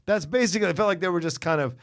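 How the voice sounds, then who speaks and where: A man's voice: sits high, racing. A man co-hosting a casual chat, speaking emphatically and swearing freely. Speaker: co-host of a movie list show.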